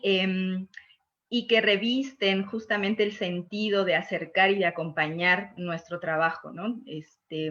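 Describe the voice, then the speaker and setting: A woman's voice: medium pitch, fairly bright tone, muffled recording. A woman speaking reflectively and hesitantly as a panelist, often adding tag questions to check for agreement. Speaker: panelist who promotes reading with young children.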